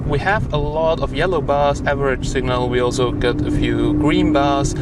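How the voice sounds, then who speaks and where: Casual male tech reviewer, noisy recording. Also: medium pitch. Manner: informal, matter-of-fact, occasional mild swearing. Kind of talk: casual hands-on product review commentary. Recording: clearly noisy location recording.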